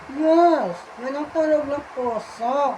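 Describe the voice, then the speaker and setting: A man voicing a cheerful, polite puppet that calls out drawn-out greetings and eager answers. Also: very high-pitched, noisy background, voice pushed up.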